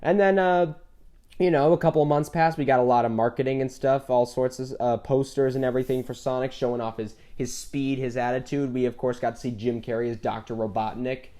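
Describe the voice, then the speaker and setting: A man's voice: medium pitch, steady tone, brisk, mellow. A man co-hosting an enthusiastic, casual discussion about films, opinionated and stressing his points with repetition. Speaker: podcast co-host.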